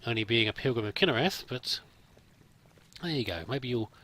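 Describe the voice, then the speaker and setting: A man's voice: mid-range voice, lively intonation, moderate pace, bright, forceful tone, no room sound. A man giving casual, in-character roleplay commentary over a video game, rambling politely and hesitantly. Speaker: let's play host. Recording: faint background noise with game ambience.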